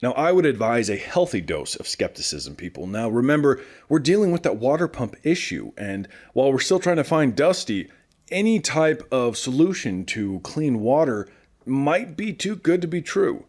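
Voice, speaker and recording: A man's voice: medium pitch, sing-song, normal speed, darkish tone. A man playing a chatty small-town morning radio host in a post-apocalyptic audio drama, talking straight to his listeners in a folksy way. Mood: upbeat, chatty. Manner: conversational, addresses listeners directly. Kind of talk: scripted radio show monologue, folksy.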